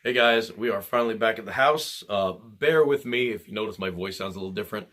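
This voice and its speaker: A man speaking casually to his viewers, earnest and a little halting, his voice altered from being sick. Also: lowish voice, a bit bright. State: recovering from illness, voice altered. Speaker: channel host. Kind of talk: casual video address.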